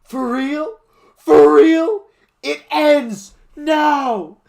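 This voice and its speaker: A man's voice: very high voice, very slow, gravelly, darkish tone, pitched above the performer's own voice, heavily acted. A man voicing an unhinged young man who screeches in fury. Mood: furious.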